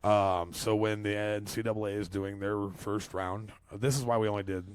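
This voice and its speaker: Low-voiced rambling man in his forties. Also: fairly even intonation, normal speed, clear, resonant tone, no room sound. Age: 40s. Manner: rambling, swears casually, many fillers. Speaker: podcast co-host. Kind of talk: casual chat.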